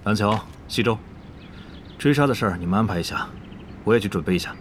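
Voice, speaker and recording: A man acting a cool, authoritative guild president in a Chinese radio drama, measured and stern. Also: fairly low pitch, lively intonation, a little hiss or hum, natural voice. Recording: background music under the voice.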